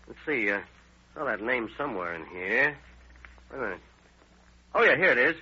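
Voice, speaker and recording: Sharp, bright-voiced detective. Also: male voice, mid-range voice, sing-song, moderate pace, breathy edge, heavily acted. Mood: thoughtful. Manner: folksy, laconic. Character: plain-spoken, practical male police detective partner. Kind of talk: 1940s radio crime drama acting. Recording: muffled, noisy old radio recording.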